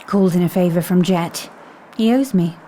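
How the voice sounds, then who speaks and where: A woman playing a calm, intuitive time agent in an audio drama, speaking with composure. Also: lowish voice, moderate pace, a little hiss or hum, natural voice. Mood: focused.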